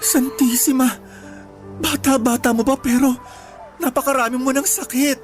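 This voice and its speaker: An actress with a Filipino accent playing a caring, frank young cousin in an earnest Tagalog radio drama. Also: female voice, sits high, steady tone, moderate pace, faint music behind, natural voice. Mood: shocked, concerned. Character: caring, frank female cousin, scolding but protective.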